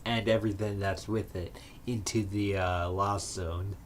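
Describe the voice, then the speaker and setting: Low-voiced man chatting over a card game. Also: steady tone, a little hiss or hum. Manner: explains rules in halting bursts with fillers. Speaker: stream co-host. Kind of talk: casual chat while playing a card game. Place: game store.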